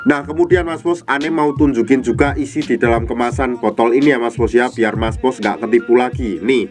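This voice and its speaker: A man's voice: medium pitch, a little mellow. A man with a nasal, stuffy voice from a cold, chattily explaining products to viewers as a vlog host. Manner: chatty, repeatedly addressing the viewer. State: congested from a cold. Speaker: online product seller and video host. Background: music behind the voice.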